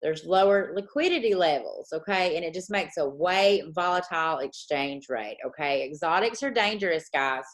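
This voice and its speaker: A woman teaching a trading lesson, dictating slowly and repeating points, often checking in with "okay?". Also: deep voice, lively intonation. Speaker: forex trading coach.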